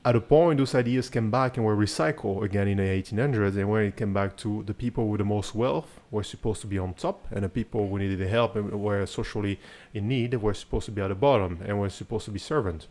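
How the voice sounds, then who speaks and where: A man's voice: fairly low pitch, quick pace, hardly any pauses, a little mellow. A man from Cameroon who moved to France as a child, hosting a conversational podcast interview in an earnest, reflective way. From Cameroon, then France from about age seven. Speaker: podcast host.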